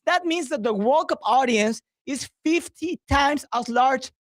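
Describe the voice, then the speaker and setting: A man's voice: extremely high pitch, expressive, a little slow, no echo, studio quality. A man talking up sports as a radio guest, emphatic and driving points home with repetition. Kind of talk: promotional sports talk.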